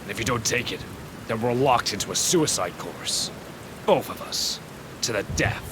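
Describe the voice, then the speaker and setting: A man's voice: on the low side, animated, normal speed, noisy background, natural voice. A man acting a stern, weary masked vigilante in an amateur radio drama, grave and emphatic. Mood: grave, pleading. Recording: loud music bed over dialogue. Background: loud music, rain and thunder.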